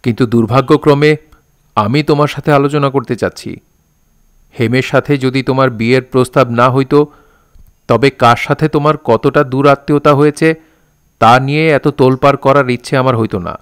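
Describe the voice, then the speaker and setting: A man's voice: mid-range voice, steady tone, fairly quick, clean tone, no echo, clean studio sound, natural voice. A man acting a stern, sarcastic young interrogator. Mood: sarcastic, probing. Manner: accusing, sarcastic, insistent. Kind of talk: Bengali audio drama acting.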